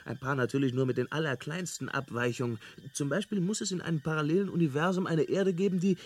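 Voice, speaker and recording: An actor playing an unflappable galactic hitchhiker in a radio drama, explaining things in a nonchalant, amused way. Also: male voice, on the high side, fast talker, lightly acted. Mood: calm, explanatory. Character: breezy, unflappable male galactic hitchhiker, experienced field researcher.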